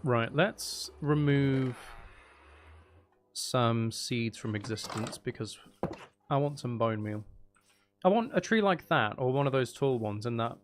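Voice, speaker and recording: A man's voice: mid-range voice, lively intonation, slow pace, pauses often, a little hiss or hum. A man thinking aloud as he plays a building game, chatting casually with musing, playful asides. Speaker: streamer playing a building game.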